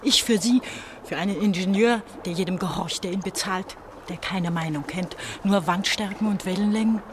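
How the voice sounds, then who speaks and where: Woman acting pastor's young runaway daughter, anguished, halting, brooding, radio drama, medium pitch, slight noise. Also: natural voice. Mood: agitated, defiant. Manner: brooding, halting monologue with repeated phrases. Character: anguished eighteen-year-old pastor's daughter on the run.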